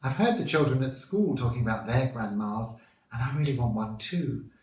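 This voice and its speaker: Man putting on an eager, high-pitched hyena boy. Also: lively intonation, normal speed, darkish tone, roomy sound, close to an everyday voice. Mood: hopeful. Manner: pleading, eager.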